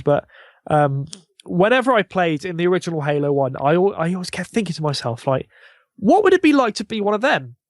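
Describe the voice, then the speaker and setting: A man with a fairly high voice chats casually as a guest on a podcast. He rambles eagerly like a fan, and the sound is dry and close. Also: no echo. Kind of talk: casual chat.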